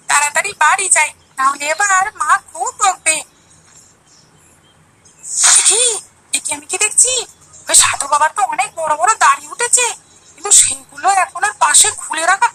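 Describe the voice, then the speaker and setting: Casting: actress for cartoon village boy, extremely high, bright, anxious and insistent, soft music behind. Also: lively intonation, moderate pace, long pauses, full character voice, poor audio. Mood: alarmed. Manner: pleading, insistent. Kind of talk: Bengali animated story dialogue.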